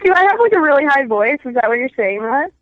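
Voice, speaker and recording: Woman phone caller, high voice, blunt, slightly dull phone sound. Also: big pitch swings, quick pace, no room sound. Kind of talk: phone call to podcast. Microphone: phone line.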